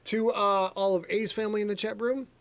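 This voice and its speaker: A man's voice: high-pitched, steady tone, brisk, fairly bright tone. Joking man closing a livestream. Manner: joking, crude banter. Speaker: podcast co-host. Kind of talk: casual livestream chat.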